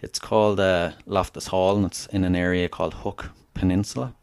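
Deep-voiced Irish man telling a story. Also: fairly even intonation, a little fast, a little mellow, smooth, dry recording. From Ireland (Wexford). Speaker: podcast guest. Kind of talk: casual interview storytelling.

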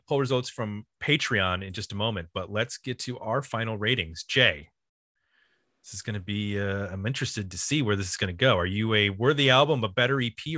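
A man hosting a casual music discussion, thinking aloud in a halting, conversational way. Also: lowish voice, bright, forceful tone, no echo, clean studio sound. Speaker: podcast host.

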